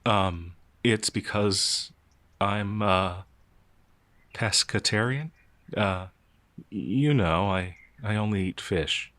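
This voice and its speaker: A man's voice: lowish voice, takes it slowly, pauses often, a bit bright, no room sound. A man playing a timid, innocent werewolf farmhand in an audio roleplay, speaking in a hesitant, stammering, naively earnest way. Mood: shy, fond. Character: timid, gentle, innocent male werewolf farmhand, older than he appears. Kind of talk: audio roleplay character acting.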